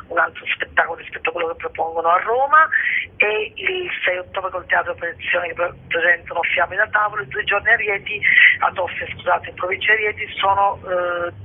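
A woman guest presenting a festival programme in an informal interview, with a husky voice and a hesitant manner, searching for words. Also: lowish voice. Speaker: festival co-director, radio guest. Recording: dull, band-limited phone-like radio sound.

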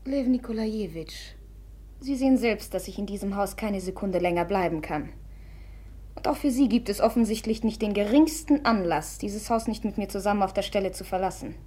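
Actress as a cool stiff noblewoman, breathy, formal, 1950s radio play. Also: medium pitch, fairly quick, warm tone, close to an everyday voice. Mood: cold. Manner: formal and clipped. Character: proud, wounded young noblewoman, cool and stiff. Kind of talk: 1950s radio drama acting.

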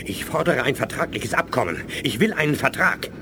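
An actor playing a desperate, betrayed alien prisoner in a radio play, agitated and protesting. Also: male voice, mid-range voice, animated, brisk, noisy background, close to an everyday voice. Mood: desperate, outraged. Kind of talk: 1980s German radio play acting.

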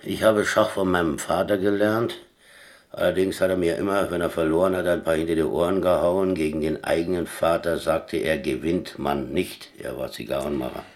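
Very deep-voiced, sardonic captain. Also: male voice, fairly even intonation, normal speed. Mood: matter-of-fact. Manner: blunt, laconic, dry teasing. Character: sardonic, boastful German sea-captain prisoner, blunt and chummy. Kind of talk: German radio play acting.

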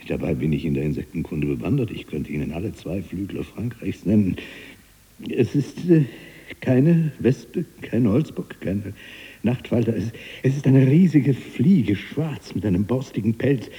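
Tormented learned prisoner, very deep, husky, brooding, halting, radio play monologue. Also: male voice, animated, mellow, heavily acted. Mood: agitated. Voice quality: husky, breathy, dropping to whispers. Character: tormented, fearful, learned male prisoner in his cell.

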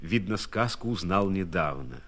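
A man narrates a literary radio biography in a low register. He takes his time, very slowly, giving each phrase an expressive, measured delivery with deliberate pauses.

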